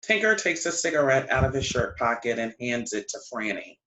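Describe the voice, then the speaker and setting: A man's voice: medium pitch, big pitch swings, fairly quick, pushed and bright, slight background noise. A man reading out stage directions in scripted narration at a play reading. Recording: echoey room.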